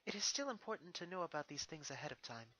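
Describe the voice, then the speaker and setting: A performer voicing a calm, formal, mature female pony ruler and mentor in a fan fiction reading. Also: medium pitch, quick pace, pitched above the performer's own voice. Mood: patient.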